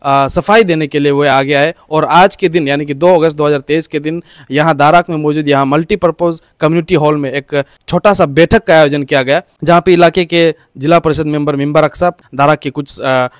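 A man giving a halting, explanatory news report into a handheld microphone. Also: on the high side, steady tone, dry recording, clean studio sound. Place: outdoor balcony by a green field.

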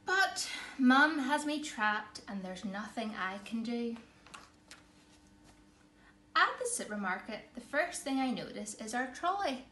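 A woman reads a children's story with theatrical emphasis, taking her time over the words. Her voice is fairly high, and the room around her is echoey with faint background noise. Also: animated, slow pace, bright, forceful tone. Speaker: storyteller reading a picture book. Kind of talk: expressive read-aloud for children. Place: small living room, indoors. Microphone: camera or phone a short distance away.

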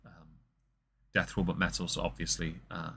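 A man in his thirties with a deep voice, mocking a video in sarcastic commentary as a stream host. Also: flat delivery, normal speed, creaky, pushed and bright, a little hiss or hum. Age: 30s.

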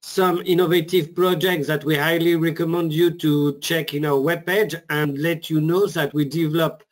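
A man's voice: on the high side, flat delivery. French-accented man presenting a webinar. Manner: halting, many fillers.

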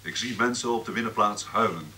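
Ernstige radioverslaggever, felle stem. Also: male voice, on the low side, brisk, pushed and bright, far from the mic, a little hiss or hum. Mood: grave, moved. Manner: grave, dramatic reporting. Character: solemn male radio reporter on location. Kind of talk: radio news report within radio drama.

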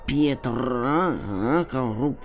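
A man's voice: mid-range voice, lively intonation, gravelly, heavily acted. A man playing a drunk, muddled, suspicious aristocrat in an audio drama, slurring and stammering as he rambles. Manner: halting, rambling.

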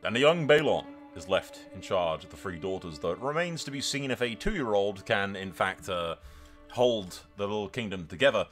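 A man's voice: lowish voice, expressive, normal speed, a bit bright, soft background music. A man hosting a gaming video, mixing dramatic role-play storytelling with sweary, casual strategy game commentary, in a theatrical manner.

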